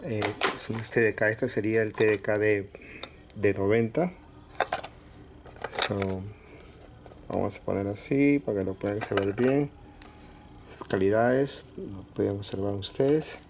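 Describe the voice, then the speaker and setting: A man casually presenting items to viewers in short, halting phrases with pauses. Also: on the low side. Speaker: collector showing cassettes for sale. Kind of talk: casual product showcase. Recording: clear background noise with clicks.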